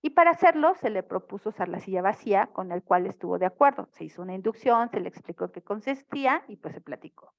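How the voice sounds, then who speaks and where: A woman's voice: medium pitch, lively intonation, quick pace, creaky, hardly any pauses, highs cut off. A woman teaching a family therapy class online, explaining and often checking in with her students. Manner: explanatory, frequent checking questions. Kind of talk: online lecture.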